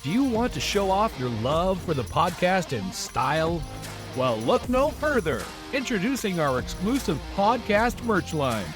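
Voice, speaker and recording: A man's voice: high-pitched, big pitch swings, a little slow. A man reading an upbeat scripted merchandise ad as a promo announcer. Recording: guitar music under the voice.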